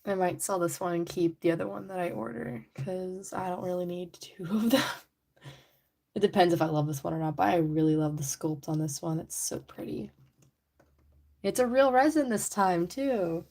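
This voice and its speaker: A young woman hosting a casual livestream, chatty and giggly, her voice a little raspy from allergies. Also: fairly low pitch, moderate pace, warm tone. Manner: chatty, giggly, rambling with many "like"s. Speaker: livestream host. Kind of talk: casual livestream chat while painting a figure. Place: indoors at home.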